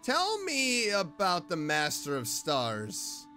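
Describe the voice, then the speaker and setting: Female streamer, blunt sceptic, animated delivery at an easy, slow pace, faint game music behind. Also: on the low side. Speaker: streamer playing and reading along. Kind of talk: casual reactions to a game.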